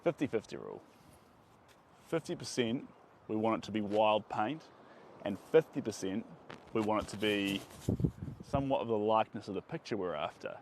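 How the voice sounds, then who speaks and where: A man's voice: on the low side, expressive, moderate pace, long pauses. A young man teaching painting on a live stream, enthusiastic and chatty, his voice a bit strained by the wind, recorded on a phone outdoors on a beach. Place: sandy beach outdoors on a sunny day. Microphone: phone held at arm's length. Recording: phone audio with clear wind and outdoor noise.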